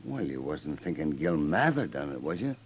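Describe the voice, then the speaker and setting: A man's voice: very deep voice, very animated, moderate pace, husky, full character voice. An actor playing a talkative, self-deprecating stableman with a rural American accent in a 1950s radio western, plain-spoken and rambling. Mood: rueful, puzzled. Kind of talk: 1950s radio drama acting. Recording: faintly noisy old radio recording.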